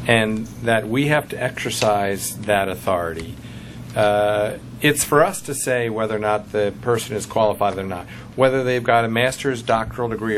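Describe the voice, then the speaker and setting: Council member, male, low voice, halting questioner, light background hum. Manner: hesitant, many fillers. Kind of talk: questioning at a public meeting. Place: council chamber.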